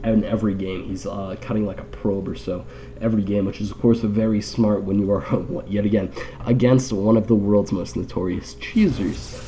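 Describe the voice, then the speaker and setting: Male esports caster, stuffy nasal tone, slightly low pitch, soft relaxed timbre, wry rambling commentary, congested from allergies. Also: slight background noise. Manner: wry, joking, rambling asides. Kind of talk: casual live game commentary.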